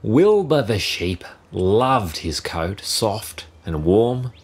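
A man narrating a rhyming children's story in an expressive, measured storytelling style. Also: lowish voice. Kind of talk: scripted rhyming children's story read-aloud.

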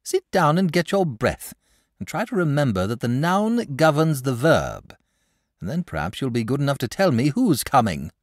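Lazy posh dragon, man putting it on, slow and drawly, voice rising and falling a lot. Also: mid-range voice, sing-song, dry recording, clean studio sound, voice pushed up. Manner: languid, unhurried, mildly plaintive. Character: lazy, gentle, cultured male dragon. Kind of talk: storybook reading.

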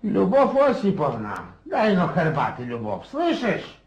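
An actor playing a garrulous, restless old Russian country landowner in a radio drama, rambling in a folksy way. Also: male voice, sits high, wildly swooping pitch, a little slow, slightly rough, exaggerated voice. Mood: baffled, hurt. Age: older. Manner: rambling, folksy, muses aloud.

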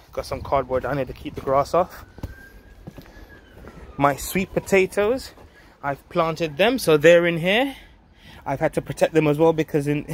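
A man in his thirties giving a casual, enthusiastic update to viewers, speaking emphatically into a handheld phone outdoors. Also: on the high side. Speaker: vlog host, allotment gardener. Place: outdoors on an allotment garden. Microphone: handheld phone at close range. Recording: outdoor ambient and traffic noise. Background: outdoor ambience, vehicle noise.

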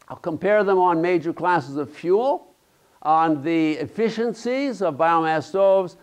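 A middle-aged man giving a conference keynote in a large lecture hall, explaining slides in a halting way with fillers and restarts, checking in with the audience. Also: sits high. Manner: halting, many fillers and restarts, tag questions. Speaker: keynote speaker.

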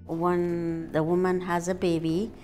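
Older Ethiopian-accented woman, low voice. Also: flat delivery, measured pace, soft background music. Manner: warm, earnest, with simple non-native phrasing. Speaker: restaurant owner and cook. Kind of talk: casual interview. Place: restaurant dining room indoors.

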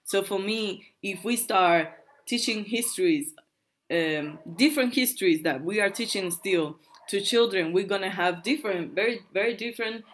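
A woman from Cuba, speaking in an online panel talk, halting and searching for English words. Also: mid-range voice. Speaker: workshop speaker.

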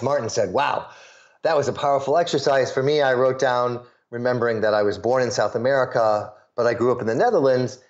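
A man's voice: medium pitch, muffled recording. A man from the US Midwest telling stories as an interview guest, acting out the voices of the people he quotes. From suburbs of Chicago, US Midwest. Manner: animated storyteller, acts out quoted voices. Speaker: guest, sales pitch coach.

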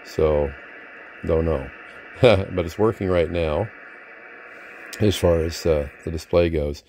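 Deep, gravelly man, casual and hesitant. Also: takes it slowly, warm tone, slight background noise. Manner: hesitant, rambling, chuckling self-deprecation. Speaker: vintage radio collector presenting a receiver. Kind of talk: casual unscripted product demonstration. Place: indoor room, at a desk with radio gear.

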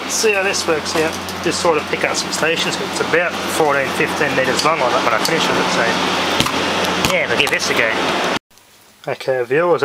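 A man with an Australian accent gives a casual hands-on demonstration in a halting, rambling way, often trailing off. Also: medium pitch, background noise. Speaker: hobbyist radio vlogger. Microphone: handheld camera's built-in microphone.

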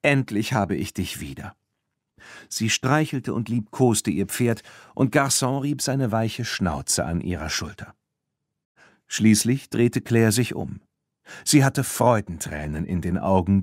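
A man reading a children's audiobook as narrator, in an expressive storytelling style. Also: lowish voice, unhurried, slightly rough, no echo, clean studio sound.

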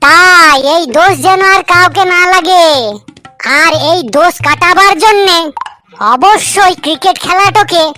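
A woman's voice: very high voice, pushed and bright, heavily acted. A woman voicing a cheeky young male egg seller in a comic cartoon, teasing and rambling with mock innocence. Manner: teasing, long-winded mock explanations.

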